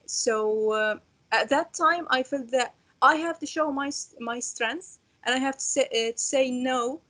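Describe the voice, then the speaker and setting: A woman's voice: high-pitched, measured pace, pushed and bright. Hesitant young Syrian woman. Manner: hesitant, many fillers and restarts. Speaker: refugee student panelist. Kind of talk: roundtable discussion.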